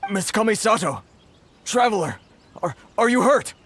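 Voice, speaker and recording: A man's voice: high-pitched, big pitch swings, normal speed, a bit bright, slightly rough, full character voice. A man voicing an anxious, stammering older merchant in a video game. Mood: worried. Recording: game music behind.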